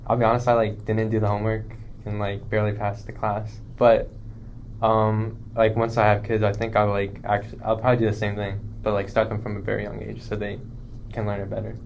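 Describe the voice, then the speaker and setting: Flat-voiced young man, low pitch. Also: fairly quick, gravelly, slight background noise. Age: young adult. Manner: relaxed, filler-heavy. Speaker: podcast guest. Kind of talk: casual chat.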